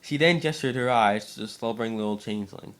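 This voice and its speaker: A man's voice: mid-range voice, fast talker, fairly bright tone. A man reading aloud as an amateur narrator, now and then stumbling over his words. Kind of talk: dramatic story reading by an amateur.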